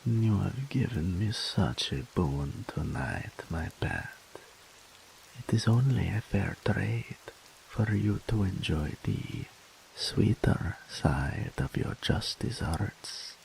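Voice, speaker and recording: A man voicing a doting, possessive male incubus demon in an ASMR roleplay audio, speaking softly and slowly in a whispery, pampering way. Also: on the low side, gravelly, a little hiss or hum, full character voice, rough recording. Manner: soft, slow, whispery and pampering.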